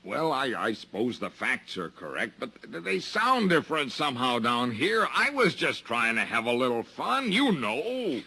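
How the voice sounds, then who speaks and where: Whiny american salesman, sharp raspy voice, flustered, pleading, old radio show. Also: medium pitch, big pitch swings, moderate pace, hardly any pauses, heavily acted. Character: whiny, blustering American male salesman tourist in trouble. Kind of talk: old time radio drama acting.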